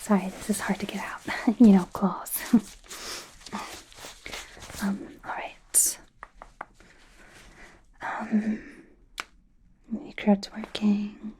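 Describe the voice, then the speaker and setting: A woman playing an anxious, apologetic young werewolf medical student in a soft, hesitant ASMR roleplay whisper. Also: mid-range voice, little pitch movement. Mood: careful, apologetic. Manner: hesitant, halting, nervous.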